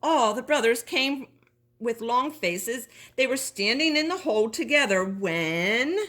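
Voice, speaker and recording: A woman reading a children's story aloud in an expressive, animated way, as a storybook reader. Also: fairly high pitch, unhurried.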